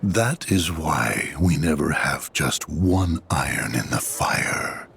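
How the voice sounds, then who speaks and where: A man playing a calculating, ominous old villain in a video game, measured and sinister. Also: rumbling low, lively intonation, vocal fry, a bit bright, slight background noise, heavily acted. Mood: sinister calm. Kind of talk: dramatic video game acting.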